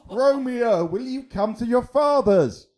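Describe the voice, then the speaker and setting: A man playing a young, mocking, bawdy gentleman wit in a theatrical, teasing manner, in a Shakespearean radio drama. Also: very high-pitched, animated, exaggerated voice. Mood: playful teasing. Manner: theatrical, teasing, drawn-out flourishes.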